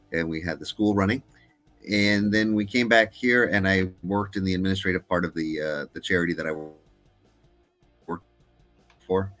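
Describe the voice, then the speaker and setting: A middle-aged man talking casually as an interview guest, pausing often with fillers and restarts. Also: sits low, a bit bright. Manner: hesitant, with many uh fillers and restarts. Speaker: guest filmmaker.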